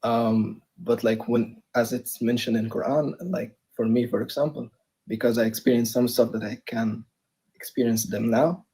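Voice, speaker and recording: Hesitant husky young man from Egypt. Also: mid-range voice, fairly even intonation, moderate pace, warm tone, rough recording. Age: young adult. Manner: hesitant, many fillers and restarts. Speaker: debate participant. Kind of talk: live debate chat.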